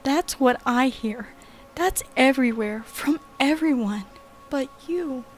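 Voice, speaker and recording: A frightened young woman in a horror audio drama, speaks in a fairly high voice. She is frantic and pleading, agitated and urgent, with faint music underneath. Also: takes it slowly. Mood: anxious, shaken.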